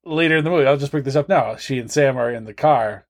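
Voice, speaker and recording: A man's voice: medium pitch, fast talker. A man co-hosting a podcast, chatting casually and jokingly about film in a wry, irreverent way. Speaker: podcast co-host.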